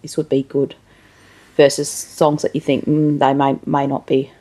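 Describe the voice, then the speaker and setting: A woman's voice: a bass voice, level tone, normal speed, warm tone. A woman hosting a casual interview, asking informal questions built around examples. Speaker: interviewer, show host.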